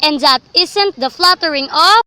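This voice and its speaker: A woman's voice: high voice, moderate pace, noisy background. A young woman reading scripted literary narration for a student radio drama. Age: young adult.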